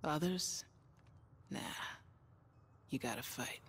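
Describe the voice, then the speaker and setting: An actress voicing a grim, unyielding woman warrior in a video game, speaking in measured, terse, deliberate lines. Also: low-pitched, vocal fry, slight background noise, full character voice. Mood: grim resolve. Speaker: game operator voice line. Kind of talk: scripted video game character line.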